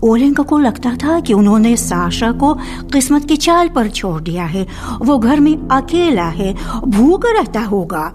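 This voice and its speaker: A woman narrates a scripted radio drama with expressive, literary delivery. Her voice is high and lively in pitch, moving at a slow pace. It is an archival broadcast recording with music underneath.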